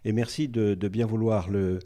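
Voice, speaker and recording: A man's voice: on the low side, fairly even intonation, normal speed, dark tone. A man with a French accent moderating a classroom debate, encouraging and given to rhythmic repetition.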